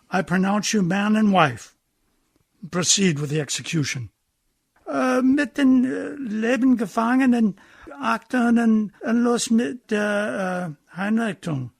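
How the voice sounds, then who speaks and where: An actor as an officious ship captain in a radio drama, pitched quite high for a man. He barks curt orders with exasperated authority, recorded close and dry. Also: no room sound. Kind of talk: radio play acting.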